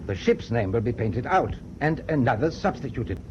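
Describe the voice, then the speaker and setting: An actor playing a stern, proud German naval captain in a radio drama, speaking in a clipped, formal, authoritative way. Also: male voice, medium pitch, expressive, normal speed, lightly acted. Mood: grave, resolute. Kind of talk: BBC radio drama acting. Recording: dull, noisy old radio broadcast tape.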